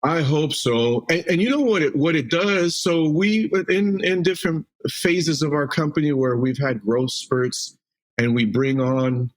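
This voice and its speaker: A man's voice: on the high side, a little slow. A man from Mexico, a roofing company CEO guest, talking loosely with frequent fillers and restarts. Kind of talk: casual interview answers.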